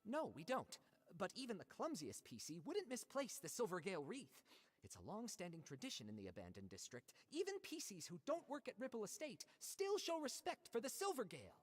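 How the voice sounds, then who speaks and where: An actress playing an exasperated, emphatic young worker in a video game. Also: female voice, mid-range voice, brisk, husky, long reverb tail. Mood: explaining. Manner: expressive, emphatic. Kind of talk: game voice acting.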